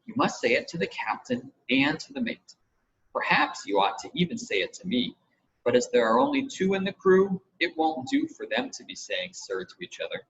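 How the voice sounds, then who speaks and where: A man's voice: sits high, wildly swooping pitch, normal speed, creaky, fairly bright tone, pitched above the performer's own voice, heavily acted. A man voicing an earnest, bossy young girl in a storybook, correcting others emphatically. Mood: bossy, emphatic. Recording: muffled home recording with hiss.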